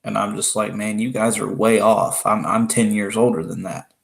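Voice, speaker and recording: Young Southern guy from Alabama, deep and gravelly, rambling live-stream host. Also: fairly even intonation, moderate pace. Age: 20s. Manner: rambling, chatty, laughs often, restarts. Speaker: livestream host. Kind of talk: casual live chat with viewers.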